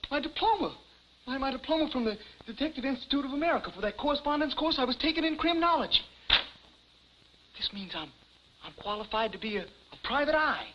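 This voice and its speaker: A man playing a naive, boastful small-town young man with a folksy, halting manner in 1950s television comedy. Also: very high voice, animated. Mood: excited. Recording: dull vintage 1950s TV soundtrack, faint noise.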